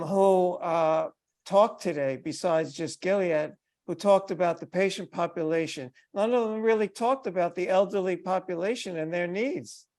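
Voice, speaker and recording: A man's voice: high voice, a little mellow. An older man speaking insistently as an advocate in an online meeting, heard through a headset mic. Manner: insistent, emphatic. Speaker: advocate for older people with HIV. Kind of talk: video call meeting discussion. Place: bright room indoors. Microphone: headphones on a video call.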